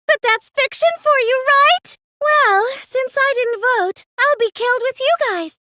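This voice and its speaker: Girlish game villain: extremely high, bright voice, theatrical, taunting, smug mastermind obsessed with fiction. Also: measured pace, no echo, exaggerated voice. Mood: smug, devoted. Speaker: video game voice actor. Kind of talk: scripted anime-style English game dub acting.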